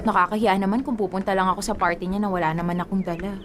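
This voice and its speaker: A woman's voice: medium pitch, steady tone, rapid-fire, noisy background, lightly acted. A woman playing a sweet, playful, superstitious young girlfriend in a Tagalog radio drama, with a Filipino accent. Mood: light, apologetic.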